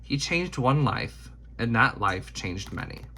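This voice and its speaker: A man reading a children's picture book aloud in deliberate, emphatic phrasing. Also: medium pitch, sing-song, vocal fry, sharp tone, slight background noise. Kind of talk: scripted storybook read-aloud.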